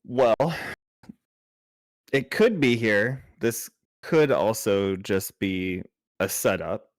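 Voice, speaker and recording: A man's voice: on the low side, very slow, no echo. A man chatting casually as a player in a tabletop role-playing game.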